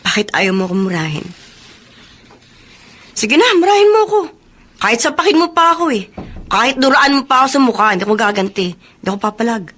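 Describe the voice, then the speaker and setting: A woman's voice: fairly high pitch, sing-song, fast, pauses often, fairly bright tone. An actress playing a remorseful, humble young woman weighed down by shame in a Filipino radio melodrama. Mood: desperate. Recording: music bed under the voice.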